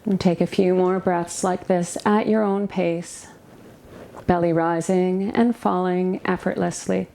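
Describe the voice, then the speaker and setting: A woman leading a guided yoga nidra meditation as a relaxation guide, giving soothing, gentle instructions with pauses between phrases. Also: fairly low pitch, fairly even intonation, creaky.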